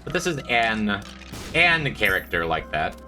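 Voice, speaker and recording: A congested man rambles through his game with a low but bright, forceful voice. His pitch jumps all over as he mocks himself for chat. Faint game music plays behind him. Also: very animated, measured pace. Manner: rambling, self-mocking, breaks into high squeaky jokes. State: congested, stuffed-up voice. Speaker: streamer. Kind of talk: casual gameplay commentary to chat.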